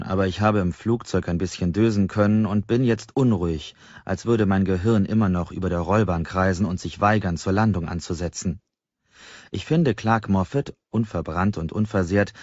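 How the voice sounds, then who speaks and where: A man's voice: low-pitched, a little mellow, no room sound. A man reading a novel as a scripted first-person audiobook narration. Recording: dry, slightly dull audiobook recording.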